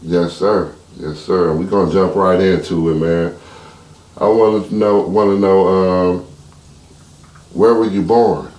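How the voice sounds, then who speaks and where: A man's voice: deep voice, steady tone, slow pace, a little mellow, reverberant. A man with an American accent, casually interviewing as a podcast host.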